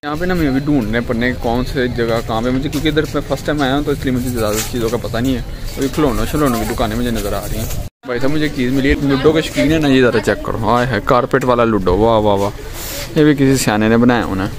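A man's voice: medium pitch, fast. Young man, Lahori Pakistani accent, casual vlog chat, jokey slang, frequent exclamations, outdoor market recording. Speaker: vlogger. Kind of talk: casual vlog chat, showing things to viewers. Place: outdoors in a busy street market.

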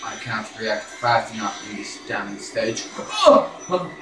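A man's voice: on the low side, wildly swooping pitch, slow. A man giving casual live gameplay commentary, exclaiming and swearing in frustration as he plays. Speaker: gaming video host. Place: indoor room in front of a television. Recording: roomy, distant mic, game sound behind. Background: faint game music from television.

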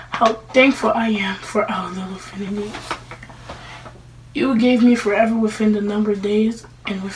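A woman playing a grieving, lovestruck teenage girl in a halting, emotional dramatic eulogy monologue. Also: mid-range voice, close to an everyday voice. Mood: tearful, loving. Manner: halting, emotional delivery with pauses. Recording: distant mic with faint room noise.

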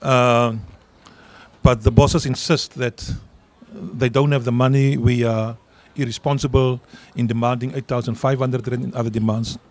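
Middle-aged man, Afrikaans accent, union leader, emphatic, medium pitch. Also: flat delivery, normal speed. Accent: South African Afrikaans. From South Africa. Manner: earnest, emphatic, repeats words when stressing a point. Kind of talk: interview answers at a public event. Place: outdoor garden event. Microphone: handheld mic.

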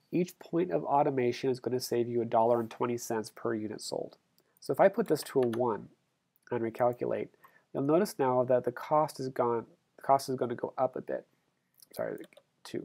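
A male instructor talks through a screencast at a steady middle pitch. His voice has a gravelly, creaky edge. He explains each step plainly and corrects himself when he slips. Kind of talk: screencast tutorial walkthrough. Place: voice-over on screen recording.

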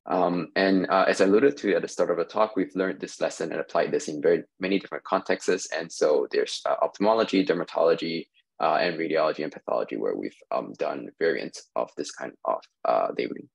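A man giving a recorded conference talk over slides, measured and explanatory, with many "uh" fillers, on a webcam mic. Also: a bass voice, vocal fry, breathy edge. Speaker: industry researcher giving a talk.

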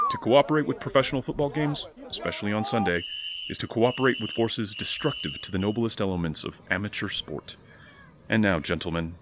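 An actor playing a stern, high-minded older 1920s college football coach in an audio drama, speaking American English with formal, pompous oratory. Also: male voice, natural voice. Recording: clearly noisy background ambience.

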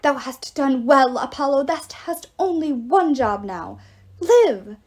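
Woman putting on a bombastic magical talking arrow, high and loud. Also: animated, slow, exaggerated voice. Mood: solemn, emphatic. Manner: declamatory, mock-Shakespearean diction.

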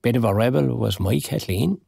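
A man's voice: mid-range voice, normal speed, gravelly, dark tone, husky, dry recording. An actor playing a lonely, wry retired man in his sixties, with an Irish accent and a dry, wistful, understated manner in a naturalistic audio drama. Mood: wistful, resigned. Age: 60s.

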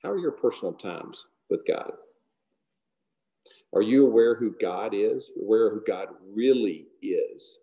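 A middle-aged man giving an earnest devotional talk to a men's group and closing in prayer, often trailing off softly at the ends of lines. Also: lowish voice, expressive, a little slow, lo-fi sound. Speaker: guest speaker. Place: large hall. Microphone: camera close below the face.

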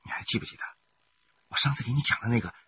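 A man's voice: mid-range voice, expressive, rapid-fire, bright, forceful tone, breathy edge, highs cut off, full character voice. An actor playing a middle-aged, money-hungry husband in a radio crime drama, who repeats his words and swings between coaxing and bullying. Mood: pushy, boastful.

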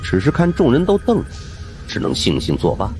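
A man narrating a suspense horror audiobook in an expressive, dramatic storytelling manner. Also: mid-range voice, fairly quick, soft background music.

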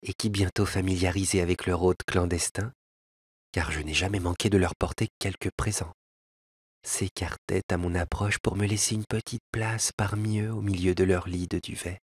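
Man reading as a sad exiled elf, soft lyrical pleading, low voice, very husky. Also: fairly even intonation, moderate pace, no echo, studio quality. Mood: wistful. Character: lovelorn exiled male elf, gentle and sorrowful. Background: faint piano.

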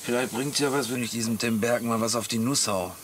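A man's voice: mid-range voice, quick pace, close to an everyday voice. Guy playing a grumpy sarcastic middle-aged husband in a radio play, noisy chirpy background. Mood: grumpy, sulking. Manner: blunt, grumbling, sarcastic. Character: gruff, grumbling middle-aged camper husband and father. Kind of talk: German radio comedy drama acting.

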